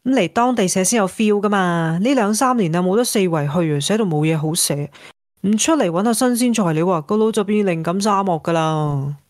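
A woman's voice: medium pitch, fairly quick, a little mellow, no room sound, clean studio sound, natural voice. An actress playing a breezy, teasing woman travel writer in a Cantonese radio drama, with a Hong Kong accent. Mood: breezy, chatty. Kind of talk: radio drama acting, phone conversation.

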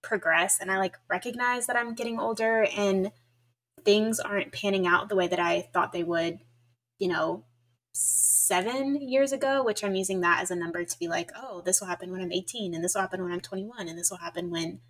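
A woman's voice: medium pitch, brisk, fairly bright tone, low-quality recording. A woman in her twenties co-hosting a podcast, telling stories in a chatty way full of fillers. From United States. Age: 20s. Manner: chatty, filler-heavy storytelling. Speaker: podcast co-host. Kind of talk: casual chat.

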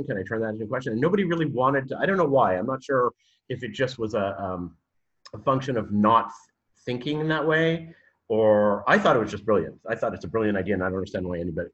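A man's voice: medium pitch, quick pace, a bit bright, dull audio. A man from Canada with a Canadian accent, talking as a guest in a podcast interview, hesitant with many fillers and restarts, then telling his story with growing energy. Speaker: guest, university programme director.

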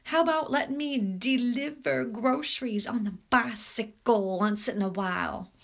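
A woman's voice: fairly high pitch, creaky, voice pushed up. Woman acting a sneering, drawling teenage boy bully. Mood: jeering imitation. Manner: taunting, drawn-out jeering. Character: muscular swaggering teenage male bully, sneering and mocking.

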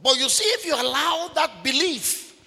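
Male preacher, very high, bright and gravelly voice, emphatic and repetitive with shouted bursts, long hall reverb. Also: very animated, normal speed. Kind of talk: sermon.

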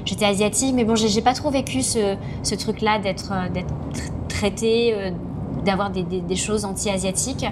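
A woman's voice: mid-range voice, steady tone, normal speed, noisy background. A woman from France hosting a casual podcast, restarting her sentences often. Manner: stammering restarts, laughs easily.

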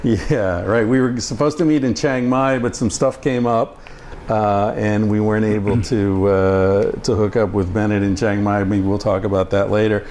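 A man's voice: lowish voice, normal speed, slight background noise. A man hosting a podcast, casually interviewing a guest with frequent fillers. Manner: relaxed, frequent "uh" fillers, short backchannels. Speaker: podcast host. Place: indoors in Bangkok.

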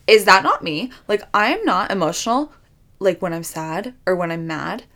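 A woman's voice: medium pitch, big pitch swings, moderate pace, fairly bright tone. A young woman co-hosting a podcast in casual chat, talking loosely with lots of "like" fillers. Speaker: podcast co-host.

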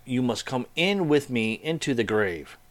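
A man voicing an evil, gloating walking corpse that gives slow, theatrical commands in a spooky folk tale. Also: mid-range voice, expressive, natural voice. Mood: commanding, menacing.

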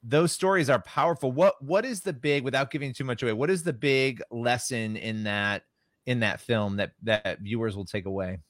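Male host, mid voice, warm-up questions, eager agreement, dry close recording. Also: medium pitch, normal speed, no echo. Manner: affirming, enthusiastic, many fillers. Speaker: interview host. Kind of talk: faith-based interview chat.

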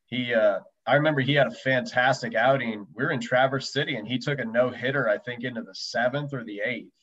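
The sound is dull and slightly lo-fi. A man with a medium-pitched voice tells stories casually as a podcast guest, in loose, slangy, colloquial phrasing. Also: brisk, a bit bright. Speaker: podcast guest, former professional baseball pitcher. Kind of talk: casual chat, storytelling.

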